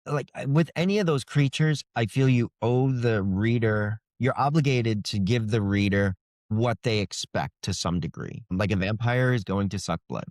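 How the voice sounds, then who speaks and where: Low-voiced man, casual author interview. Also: moderate pace, a little mellow, no room sound, clean studio sound.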